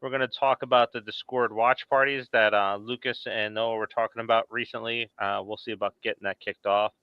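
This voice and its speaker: A man's voice: on the low side, level tone, a little fast, clean tone, no room sound, muffled recording. A man hosting a casual, informal podcast chat about horror movies in a conversational way. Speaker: podcast host.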